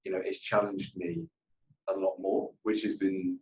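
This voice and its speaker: A man speaks in a deep voice with little melodic movement. He gives earnest, conversational interview answers, often doubling back on his words. The audio is slightly dull. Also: flat delivery, moderate pace, a little mellow, slight background noise. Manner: earnest, repeats himself, often says "you know". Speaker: account manager being interviewed.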